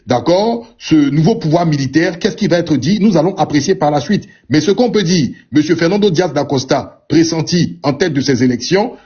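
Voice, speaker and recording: A man commenting on politics in a radio debate, speaking in a measured way, asking rhetorical questions and repeating "d'accord" as a filler. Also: on the high side, darkish tone, breathy edge, highs cut off.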